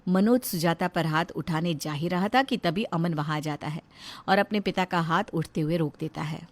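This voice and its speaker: A woman's voice: fairly low pitch, moderate pace. A woman storyteller reading a scripted narration for a moral family story.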